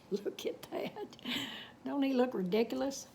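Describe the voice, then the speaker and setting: A woman reading a children's picture book aloud, with expressive, drawn-out words and folksy asides. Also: high-pitched, creaky, a little hiss or hum.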